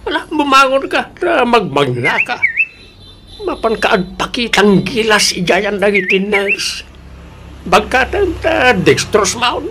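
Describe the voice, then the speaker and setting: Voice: medium pitch, big pitch swings, slow, noisy background. An actor playing a bossy, nagging elderly husband with a Filipino accent in a radio drama.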